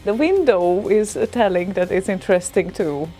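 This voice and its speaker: Animated Hungarian-accented woman. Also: medium pitch, moderate pace, faint music behind. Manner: animated, exclaiming, laughs often. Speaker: radio co-host. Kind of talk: casual chat.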